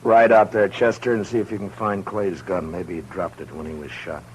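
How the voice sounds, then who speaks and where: An actor playing a stern, hard-bitten Old West marshal, commanding and blunt, in a 1950s radio drama. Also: male voice, low voice, fairly even intonation, normal speed, natural voice. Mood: businesslike. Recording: noisy old radio broadcast recording.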